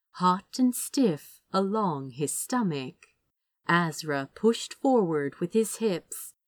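A woman reading romantic fiction aloud as an expressive, dramatic audiobook narrator. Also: medium pitch, no room sound. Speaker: audiobook reader.

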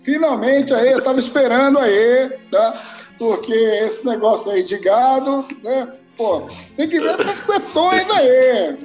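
A man's voice: very high-pitched, lively intonation, slow pace, highs cut off, soft background music. A man from São Paulo, chatting casually and rambling as a podcast co-host, swearing freely. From São Paulo, Brazil. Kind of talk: casual chat.

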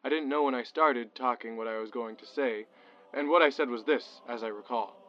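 A man, a chaplain, recounting events for an oral history in deliberate, formal phrasing. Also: medium pitch, a bit bright. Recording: dull archival interview tape.

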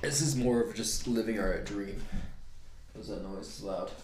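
A man's voice: fairly low pitch, moderate pace, a little mellow. Laid-back Texan man, echoey room. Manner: laid-back, swears casually, laughs often. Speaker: podcast co-host. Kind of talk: casual podcast banter. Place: living room.

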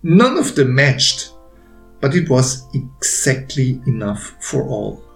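A man reading his own story aloud as a narrator, with gentle, deliberate phrasing and pauses. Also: mid-range voice, big pitch swings, gravelly. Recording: roomy echo with faint music behind.

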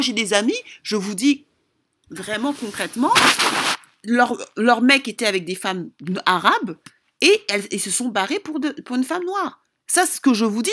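A woman's voice: fairly high pitch, fairly bright tone. A woman speaking informally as a relationship advice vlogger, giving opinionated advice in an emphatic, insistent and repetitive way.

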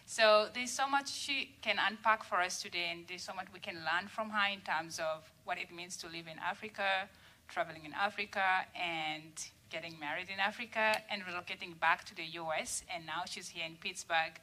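A woman's voice: on the low side, moderate pace, pushed and bright, a little hiss or hum. A woman introducing a guest speaker at a university event, with a few fillers in her speech. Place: university lecture room.

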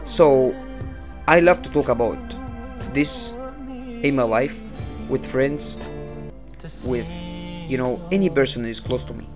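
Slow-talking Egyptian man with halting speech. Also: mid-range voice, expressive, over music. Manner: halting, repeats words, non-native phrasing. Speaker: podcast host. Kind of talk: casual motivational monologue. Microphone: small cheap mic.

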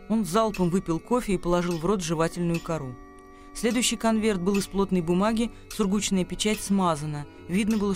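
A man's voice: sits high, a little mellow. A man reading scripted literary narration as the narrator of a radio drama.

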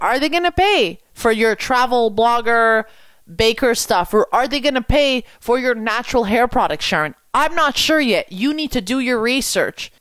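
Brief: young woman from Quebec, French native, higher voice, dry close sound, punchy coaching. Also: no echo. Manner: energetic, rhetorical questions. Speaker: business coach. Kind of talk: coaching talk to camera.

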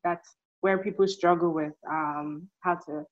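A young woman hosts an interview in a slightly low voice, encouraging and quick to affirm. Her audio is somewhat dull and muffled, as if through a modest mic. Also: steady tone. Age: young adult. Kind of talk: casual interview hosting.

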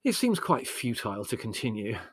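A man's voice: medium pitch, big pitch swings, a little fast, breathy edge, no room sound. A man voicing a pompous, sarcastic, easily indignant young man for an audiobook story. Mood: irritated. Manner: bitter, sarcastic, elaborate indignant phrasing. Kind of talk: audiobook character voice.

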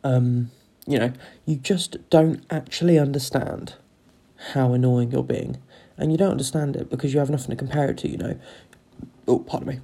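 A man with a croaky voice, rambling through a sweary, casual solo chat as a podcast host. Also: medium pitch, steady tone, normal speed, dark tone. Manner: rambling, sweary, loses his train of thought.

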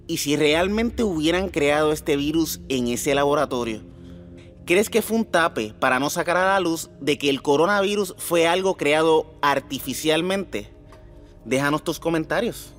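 A man presenting scripted podcast commentary as host, putting rhetorical questions to his listeners. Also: sits high. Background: background music.

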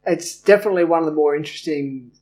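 A man's voice: on the high side, very fast, darkish tone. A male podcast host asking questions in a friendly, rambling way, with many "um"s and restarts. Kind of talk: casual podcast interview.

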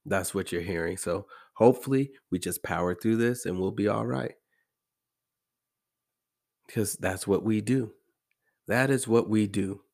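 Congested, low-voiced American man podcasting. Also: a little slow, gravelly, long pauses, darkish tone. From American Midwest. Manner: conversational, emphatic repetition. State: congested, slightly hoarse from allergies. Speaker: podcast host. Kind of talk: casual motivational monologue.

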